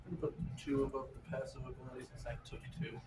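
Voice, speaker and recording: A man's voice: mid-range voice, steady tone, fast talker. Male gamer in a noisy, roomy recording. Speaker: player. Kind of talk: casual chat at tabletop game. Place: indoor room, group game table. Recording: roomy, distant mic, noisy.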